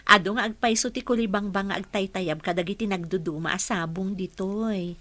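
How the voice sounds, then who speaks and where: A woman with an Ilocano accent playing a patient, affectionate working mother in a radio drama, polite and gently instructing. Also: medium pitch, brisk, close to an everyday voice.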